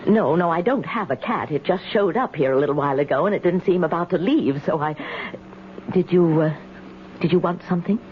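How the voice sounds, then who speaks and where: A woman's voice: on the low side, brisk, natural voice. An actress playing a nervous, timid and polite woman homeowner in a radio mystery, hesitant and flustered. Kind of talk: 1970s radio mystery drama acting. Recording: muffled, noisy 1970s radio broadcast.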